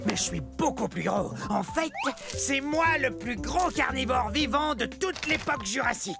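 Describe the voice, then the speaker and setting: A man's voice: very high voice, animated, creaky, over music, exaggerated voice. An actor voicing a playful, proud dinosaur father who speaks theatrically to viewers, stretching words out, in an educational cartoon. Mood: proud, excited. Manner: theatrical, drawn-out words, sudden swoops.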